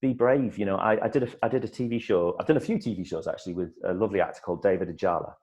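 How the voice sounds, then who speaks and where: A middle-aged man, an actor, telling stories in a casual interview, lively and full of restarts. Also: on the low side, rapid-fire, highs cut off. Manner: animated storyteller, many restarts and repeated words. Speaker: actor guest.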